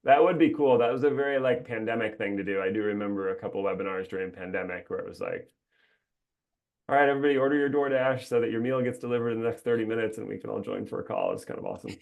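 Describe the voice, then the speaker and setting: A man's voice: lowish voice, animated, very fast, vocal fry, narrow, tinny audio. A man hosting a webinar in a casual, chatty way, upbeat and rambling, laughing often, heard through a video call mic. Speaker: webinar host.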